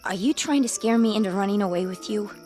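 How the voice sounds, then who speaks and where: A woman's voice: medium pitch, quick pace, a little mellow, faint music behind, natural voice. An actress playing a frightened, tender young woman with an anxious, pleading manner in a radio drama. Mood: frightened, confused. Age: young adult.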